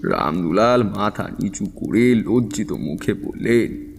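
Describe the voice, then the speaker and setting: An actor playing a shrewd, self-assured tantric fortune-teller, narrating and speaking with knowing authority in an audio drama. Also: male voice, on the low side, expressive, slow, gravelly, slight background noise, heavily acted. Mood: wry, reflective. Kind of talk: dramatised first-person story narration.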